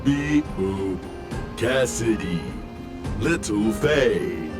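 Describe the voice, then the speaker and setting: A gentle, simple-minded video game robot in a deep voice. The words crawl out in short, halting phrases, childlike and longing. Loud music plays behind him. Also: male voice, expressive. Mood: homesick, plaintive. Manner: refers to himself in third person, short halting phrases. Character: simple, childlike male robot, homesick and affectionate.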